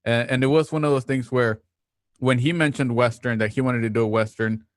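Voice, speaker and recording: A man with a mid-pitched voice tells his experiences casually on a home video call. He explains earnestly and haltingly, and the sound is quite dry. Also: steady tone, dry recording. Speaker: cinematographer on a Q&A panel. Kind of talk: casual storytelling.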